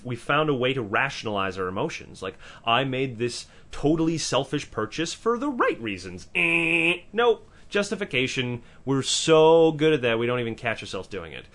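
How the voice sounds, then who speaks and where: Animated man with a powerful voice. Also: medium pitch. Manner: animated, swearing, many restarts and asides. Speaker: podcast co-host. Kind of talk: casual discussion.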